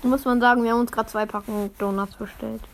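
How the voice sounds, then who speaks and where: A woman's voice: on the high side, fairly even intonation, fast, vocal fry, a little hiss or hum. A woman chatting casually as a podcast co-host, terse and hesitant. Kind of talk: casual chat.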